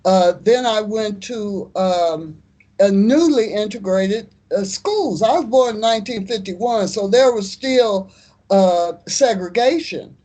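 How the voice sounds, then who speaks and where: Slow-speaking American woman in her seventies. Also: medium pitch, dark tone. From United States. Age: 70s. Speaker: artist and community activist. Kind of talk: interview answers.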